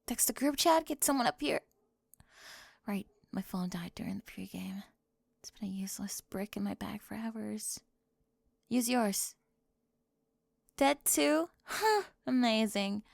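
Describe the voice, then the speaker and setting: A young woman voicing a sharp-tongued cheerleader bully for an ASMR roleplay, sarcastic and defensive, often dropping to a whisper close to the mic. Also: mid-range voice, expressive, a little slow, gravelly, long pauses, dry recording.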